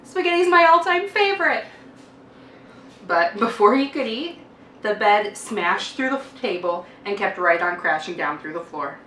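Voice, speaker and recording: A woman reading a children's picture book aloud like a teacher, with expressive, deliberate emphasis and a dramatic sing-song delivery. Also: mid-range voice. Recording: roomy, distant microphone, faint noise.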